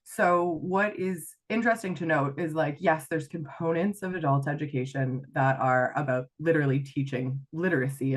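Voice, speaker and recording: Canadian woman with a slight Irish tinge. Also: low voice. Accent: Canadian with some Irish influence. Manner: reflective, many fillers and restarts. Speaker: guest, life coach. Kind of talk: podcast interview.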